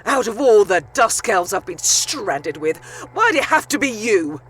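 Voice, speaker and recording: A woman's voice: mid-range voice, very animated, measured pace, husky. A woman playing a fierce, protective dusk elf vampire in an audio drama, bickering and doting theatrically. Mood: angry, mocking.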